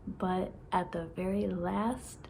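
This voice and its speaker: A woman in her twenties who has a stutter, excitedly chatting to her viewers in a casual vlog. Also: fairly low pitch, slow pace, vocal fry, slight background noise. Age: 20s. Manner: stutters, with repeated sounds and restarts; excited, laughs often. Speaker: vlogger.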